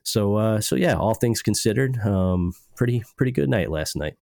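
A man's voice: lowish voice, animated, normal speed, creaky, a little mellow, no echo, clean studio sound. A young man hosting a casual music podcast, a little stuffed up from allergies, rambling loosely with lots of fillers. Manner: rambling, laid-back, many filler words. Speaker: podcast host.

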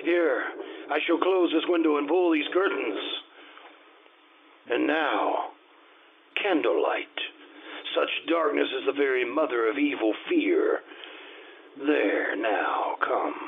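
Noisy old radio drama, male actor as anxious gentleman narrator, creaky voice, formal and deliberate, Gothic dread. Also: a little high, lively intonation, full character voice. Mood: urgent, soothing. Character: anxious, kindly gentleman friend narrating a Gothic horror tale. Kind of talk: old-time radio horror acting with literary narration. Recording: noisy, phone-like old-time radio sound.

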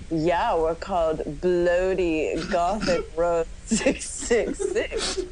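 Woman reading theatrically, slow and swooping. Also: on the low side, a little mellow, a little hiss or hum, rough recording. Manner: giggly, often breaking into laughter. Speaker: podcast host doing a dramatic reading. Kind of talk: comic dramatic reading of fan fiction.

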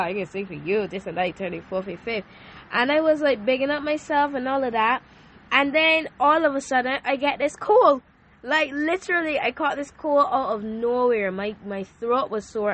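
A young woman hosting a faith talk show and sharing a personal testimony. She speaks earnestly, often repeats herself and restarts her sentences, and her voice sounds a little hoarse. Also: medium pitch, fairly bright tone. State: hoarse from a sore throat. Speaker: podcast host.

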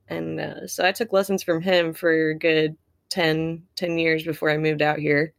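A woman's voice: sits low, little pitch movement, normal speed, vocal fry, no room sound, studio quality. A young adult American woman chatting casually as an interview guest, a singer-songwriter. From Ocala, Florida, United States.

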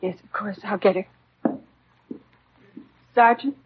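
An actress playing a shaken, devoted American housewife just widowed, speaking politely in short sentences in a 1950s radio drama. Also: female voice, mid-range voice, fairly even intonation, slow pace, slight background noise, close to an everyday voice. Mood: subdued, considerate. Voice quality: husky. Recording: dull 1950s radio recording.

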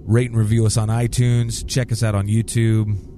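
A man co-hosting a podcast who gets excitable, swears a lot and laughs often. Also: on the low side, normal speed, slight background noise. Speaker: podcast co-host. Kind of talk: casual podcast chat.

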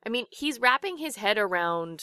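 A woman's voice: medium pitch, very animated, pushed and bright, clear, resonant tone, no room sound, studio quality. Chatty woman trading quips. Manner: chatty, quick quips and laughter. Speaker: podcast co-host. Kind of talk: casual book discussion podcast.

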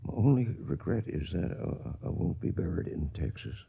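An actor playing a dying older cattle drover in a radio western, gentle and regretful, speaking weakly and breathily with halting pauses. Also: male voice, low voice, animated, gravelly, breathy edge, phone-quality sound, exaggerated voice. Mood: fading, solemn. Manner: faltering, pausing between phrases. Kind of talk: radio western drama acting.

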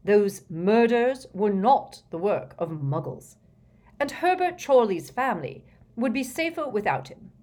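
A woman's voice: mid-range voice, sing-song, unhurried, darkish tone, pitched above the performer's own voice. A woman voicing a flustered, blustering older male wizard minister in a storybook reading, fretful and emphatic. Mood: grave, urgent.

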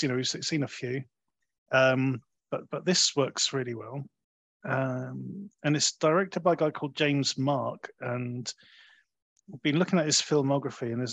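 A man hosting a conversational film review, talking in a halting way and often rephrasing as he goes. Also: medium pitch, a bit bright, no room sound. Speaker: film review podcast host.

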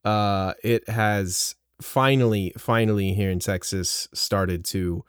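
A man's voice: lowish voice, steady tone, slow, clear, resonant tone, no echo, studio quality. Sarcastic nasal-sounding podcast host man. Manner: teasing, sarcastic, swearing. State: nasal from a cold. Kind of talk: casual banter.

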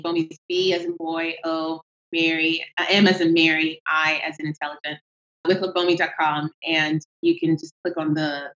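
Woman, Nigerian accent, lowish voice, animated and laughing, echoey room, dull video-call sound. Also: slight background noise. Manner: lively, animated, laughs easily. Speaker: guest entrepreneur. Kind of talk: casual interview chat. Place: at home.

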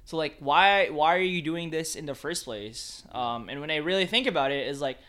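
A man's voice: on the high side, normal speed, a bit bright. A man from the Philippines who works as a photographer, chatting casually and filling his talk with "like" and "man". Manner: laid-back, many fillers like "like" and "man". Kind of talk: casual chat.

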